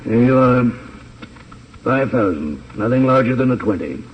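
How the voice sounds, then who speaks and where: A man's voice: mid-range voice, expressive, normal speed, natural voice. An actor playing a nervous, gullible American businessman with a hesitant, flustered delivery in an old radio crime drama. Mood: uneasy. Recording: very noisy, dull, distant radio recording.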